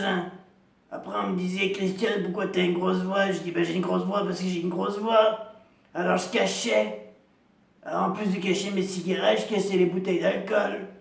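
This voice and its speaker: A gravelly, raised-pitch woman in her fifties, a chain-smoker and heavy drinker who clings to her dignity, as a man puts her on. She drags through her comic monologue slowly, rambling and repeating herself. The sound is roomy and echoey, caught from a distance. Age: 50s. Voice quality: gravelly, deep voice. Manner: slow, rambling, repetitive, self-pitying. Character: gravelly 55-year-old woman, chain-smoking hard drinker, proud and lonely.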